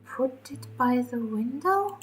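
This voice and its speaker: A woman's voice: sits high, expressive, slow, faint music behind, pitched above the performer's own voice, low-quality recording. A woman voicing a befuddled, timid young woman in a visual novel, hesitant and questioning. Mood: puzzled.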